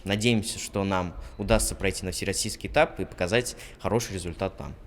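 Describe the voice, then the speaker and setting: A man's voice: deep voice, fairly quick. A man answering an interview as a competition participant, recorded in an echoing, noisy space. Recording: reverberant noisy hall.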